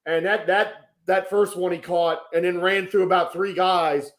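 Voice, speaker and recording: A man's voice: high-pitched, fairly even intonation, a little slow, fairly bright tone. A man on a sports talk panel, giving enthusiastic fan commentary. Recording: echoey room.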